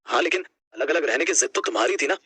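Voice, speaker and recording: An actor playing a young working husband in a family story, with a husky voice. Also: male voice, fairly high pitch, animated, fast, sharp tone, full character voice. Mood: exasperated. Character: young working husband, exasperated, strapped for money. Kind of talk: animated storybook acting.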